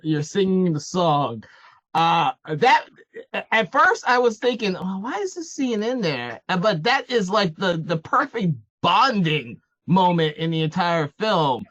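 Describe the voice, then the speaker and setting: A man's voice: sits high, expressive, unhurried, a bit bright, dry recording, dull audio. A man interviewing someone casually, stammering and repeating words as he works toward his questions.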